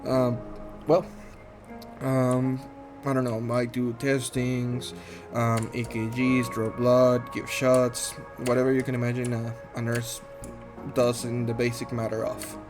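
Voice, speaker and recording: A man in his thirties from Guatemala, speaking English with a Guatemalan Spanish accent, introducing himself in a shy, halting way full of ums and restarts. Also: medium pitch, completely flat delivery, over music. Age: 30s. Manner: shy, soft-spoken, earnest. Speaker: gaming channel host. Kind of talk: casual self-introduction while playing a game.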